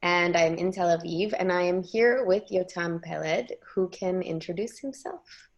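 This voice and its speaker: A woman interviewing an artist, putting her questions in a measured, considered way. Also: on the low side, dull audio. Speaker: interviewer, choreographer and journalist. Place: Tel Aviv.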